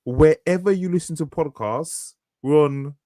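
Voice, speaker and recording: A man's voice: on the high side, unhurried, dark tone, dry recording. A man co-hosting a podcast, rambling and laughing often, with frequent swearing. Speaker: podcast co-host, author. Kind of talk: casual banter. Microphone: studio mic.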